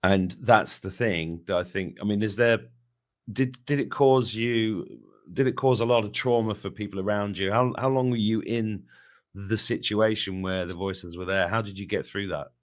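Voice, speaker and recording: A man with a fairly low voice acts as interviewer in a casual conversation. He phrases things hesitantly, searching his way toward each question. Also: normal speed.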